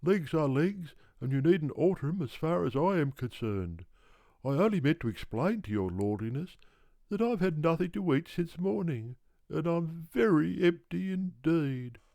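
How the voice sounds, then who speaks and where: Man putting on a high, complaining old kangaroo. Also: big pitch swings, moderate pace, gravelly, dark tone, no echo, clean studio sound. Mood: apologetic. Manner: complaining, formally polite. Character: tired, aggrieved old male kangaroo, apologetic. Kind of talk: storybook character voice.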